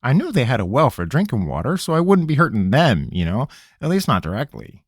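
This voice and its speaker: A man voicing a nervous, rambling young farm-boy mage with a high, twangy, folksy voice. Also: very animated, a little fast, husky, no room sound, studio quality. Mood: rambling excuses. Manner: jittery, wheedling, folksy.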